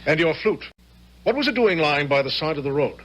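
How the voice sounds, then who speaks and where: An actor playing a grave, probing, thoughtful army general, speaking with measured authority in a radio drama. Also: male voice, on the high side, animated, a bit bright. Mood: stern. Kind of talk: 1970s radio drama acting. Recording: noisy vintage radio recording with hum.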